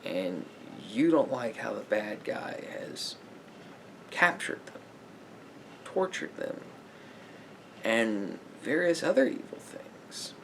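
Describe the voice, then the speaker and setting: A man speaking softly as a therapist in an ASMR roleplay, in a measured, halting, explanatory way. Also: medium pitch, big pitch swings, gravelly, a little hiss or hum, rough recording.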